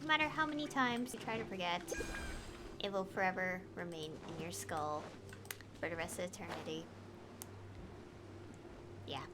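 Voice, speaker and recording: A woman's voice: lowish voice, normal speed, pauses often, noisy background. A woman giving casual live commentary as she streams a video game.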